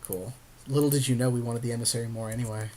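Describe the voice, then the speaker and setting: Guy chatting thru a card game, slangy n jokey, agrees easily, normal mid pitch. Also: fairly even intonation, quick pace, darkish tone, slight background noise. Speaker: podcast co-host commentating on a card game. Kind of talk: casual gameplay commentary.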